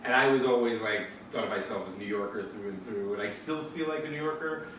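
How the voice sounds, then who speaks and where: A man from the United States being interviewed, relaxed and chuckling through his answers. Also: lowish voice, fast. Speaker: guest. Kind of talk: casual interview chat. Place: large echoey space by a pool. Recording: echoey and distant with faint noise.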